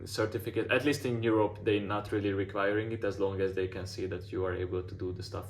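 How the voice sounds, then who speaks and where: Non-native English-speaking man, design mentor, low monotone delivery, filler-heavy casual advice, faint noise on the line. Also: fast. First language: not English. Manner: frequent "like" fillers and restarts. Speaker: design mentor giving portfolio feedback. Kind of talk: casual feedback session.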